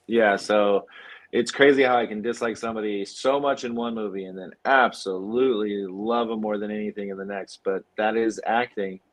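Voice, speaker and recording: A man hosting a film podcast in a casual, halting way, thinking aloud as he goes. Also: fairly low pitch, fairly even intonation, low-quality recording.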